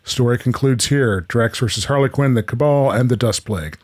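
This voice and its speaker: A man's voice: medium pitch, normal speed. A man presenting a radio play, reading out story rules and announcing acts and scenes.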